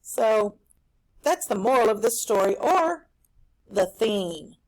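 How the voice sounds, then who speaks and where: A woman teaching a recorded reading lesson for children at home, explaining a story in an animated, explanatory way. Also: a little high, takes it slowly, rough recording.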